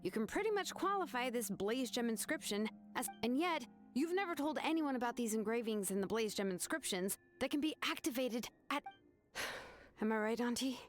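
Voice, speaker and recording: Casting: actress as frank young fantasy-game artisan, composed and caring, a touch high in pitch, music underneath. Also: female voice, animated, normal speed, close to an everyday voice. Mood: probing, composed. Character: cool, sharp young craftswoman, frank and caring. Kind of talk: video game voice acting.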